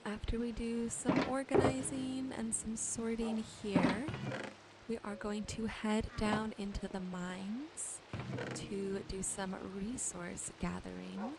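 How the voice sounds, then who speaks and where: A woman gently narrating her gameplay as a sleep ASMR host, soothing and unhurried. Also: medium pitch, fairly even intonation, gravelly, very noisy. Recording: music behind the voice. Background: soft music, game rain and animal sounds.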